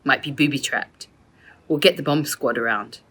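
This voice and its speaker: A woman voicing a stern, brusque male police officer in a children's storybook, with a sharp, commanding manner. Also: a bass voice, normal speed, husky, exaggerated voice. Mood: stern, warning.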